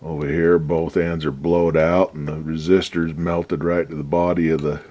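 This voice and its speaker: A man's voice: rumbling low, level tone, normal speed, warm tone. A man restoring an old radio at his workbench, giving rambling, folksy commentary with a lot of swearing. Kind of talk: casual workshop commentary.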